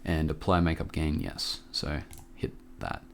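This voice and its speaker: A man with a low voice walks through a software tutorial casually. He sounds a little under the weather and talks in an offhand, halting way, close to the mic. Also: big pitch swings, creaky. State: sick with a cough. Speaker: tutorial presenter. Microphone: close microphone.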